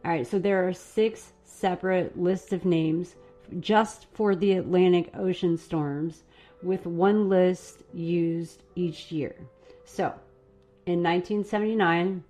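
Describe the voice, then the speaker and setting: A woman hosting a live stream, chatting casually and rambling a little while her voice is going hoarse. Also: on the low side, mellow. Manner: casual, rambling, laughs at herself. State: losing her voice. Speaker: host.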